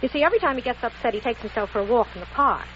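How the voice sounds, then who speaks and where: Sassy fed-up secretary on old radio comedy, high swoopy voice, muffled hissy recording. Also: female voice, expressive, rapid-fire, fairly bright tone. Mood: sassy, flustered. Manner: exasperated, wisecracking. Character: brisk sassy secretary fielding a demanding caller on phone. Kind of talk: 1940s radio comedy acting.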